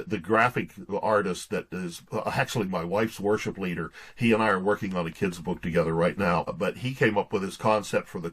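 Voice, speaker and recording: A man's voice: low voice, slightly rough. A man in his sixties telling stories casually as an interview guest, in a folksy, earnest way with stop-and-start phrasing. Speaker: podcast guest, pastor and author.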